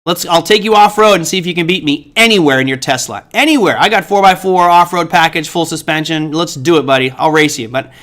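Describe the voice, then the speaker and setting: A man's voice: fairly high pitch, brisk, few pauses, a bit bright. A middle-aged man hosting a live trading stream, chatting casually with viewers in a rambling, joking way full of fillers. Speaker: host of a trading livestream. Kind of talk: casual livestream chat answering viewers.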